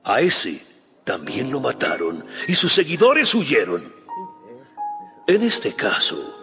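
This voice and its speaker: A high-voiced, solemn Pharisee teacher. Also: male voice. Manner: solemn, deliberate oratory. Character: elder Pharisee law teacher, respected, measured, persuasive. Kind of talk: dramatized Bible audio acting. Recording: long hall reverb under music. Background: music.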